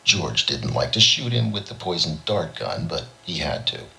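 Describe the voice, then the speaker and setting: A man narrates fiction in an extremely deep voice. There is plenty of fry in it, and his intonation moves around in a lively way as he reads the scripted story. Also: normal speed. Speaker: audiobook reader.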